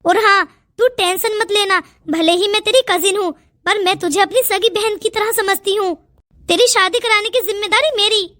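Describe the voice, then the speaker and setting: A woman's voice: extremely high pitch, moderate pace, bright, forceful tone. A woman voicing a stern, protective elder cousin who scolds and commands in a cartoon family melodrama. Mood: indignant, bossy. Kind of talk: melodramatic cartoon story acting.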